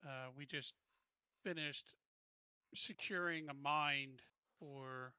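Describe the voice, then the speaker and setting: Sharp, higher-voiced man playing a tabletop game. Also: fairly even intonation, takes it slowly, smooth, no room sound, lo-fi sound. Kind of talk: casual game chat.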